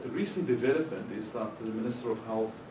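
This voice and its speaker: A man's voice: medium pitch, quick pace. A man, a legal researcher, giving panel remarks in a hesitant way, with many ums. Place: conference hall. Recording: echoey hall, distant mic, noisy.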